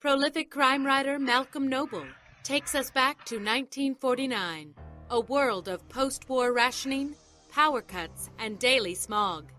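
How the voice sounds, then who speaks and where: Female trailer narrator, high and crisp-edged bright tone, faint music bed. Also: measured pace. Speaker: announcer. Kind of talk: scripted dramatic trailer narration.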